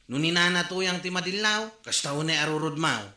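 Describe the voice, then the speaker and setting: A man's voice: a little high, moderate pace, pushed and bright, roomy sound. A man acting a joking driver waiting for passengers, in a radio drama.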